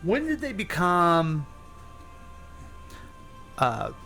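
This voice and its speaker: A man's voice: fairly high pitch, wildly swooping pitch, drawn-out words, vocal fry, bright, forceful tone, soft background music. A man hosting a radio interview as a casual chat, asking questions in an informal, inquisitive way.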